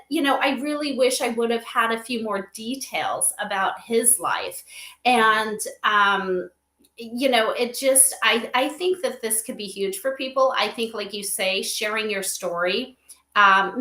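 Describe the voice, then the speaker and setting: Bright, echoey woman, higher-pitched. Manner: effusive, agreeing emphatically. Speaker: guest advisor. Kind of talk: casual interview chat.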